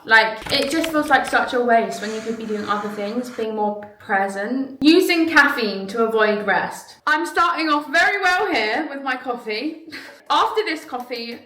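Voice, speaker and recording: A young woman speaks candidly to camera, her voice bright and a touch high. She rambles in a casual, confiding way, with plenty of "like". The room around her is echoey. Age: young adult. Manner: candid, chatty, lots of "like". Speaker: vlogger. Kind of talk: reflective personal vlog to camera.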